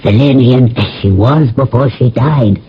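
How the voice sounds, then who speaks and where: A man's voice: medium pitch, unhurried, a little hiss or hum, close to an everyday voice. A man playing a devoted, philosophical slave of antiquity in a radio drama, speaking in a formal, dramatic storytelling manner. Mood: grave, urgent. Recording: dull old radio, faint music.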